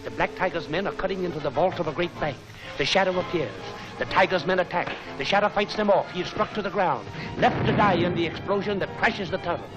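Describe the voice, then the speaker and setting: A man's voice: high-pitched, quick pace, hardly any pauses, muffled recording. A man narrating a 1940s film serial trailer in an urgent, dramatic, declamatory way. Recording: old 1940s film soundtrack, loud music. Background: loud dramatic music.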